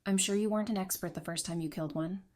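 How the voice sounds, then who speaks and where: A woman's voice: lowish voice, fast talker, a little mellow, lightly acted. A woman playing a frank, curious survivor in an audio drama, with a wry conversational manner. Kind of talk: fan audio drama acting.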